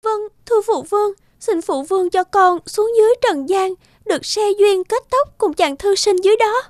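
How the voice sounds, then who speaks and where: A woman playing a high-voiced, pleading young princess. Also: lively intonation, moderate pace, no echo, studio quality. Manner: pleading, emotional. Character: young celestial princess, lovelorn, gentle but stubborn.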